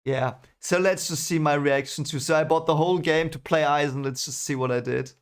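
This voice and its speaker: A man's voice: a little high, fairly quick, clean tone. A man hosting a livestream, commenting casually on an old video in a repetitive, easily amused way. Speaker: livestream host.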